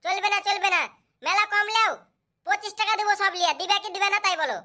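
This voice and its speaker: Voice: extremely high pitch, fairly even intonation, fast, bright, forceful tone. A performer voicing a cheeky, pushy schoolboy customer in a comedy cartoon, with whiny, insistent delivery. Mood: haggling. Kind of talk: comic cartoon dubbing.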